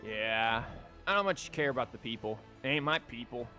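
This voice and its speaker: A man's voice: medium pitch, expressive, moderate pace, bright, forceful tone. Male streamer, casual game commentary, wry and rambling, light music underneath.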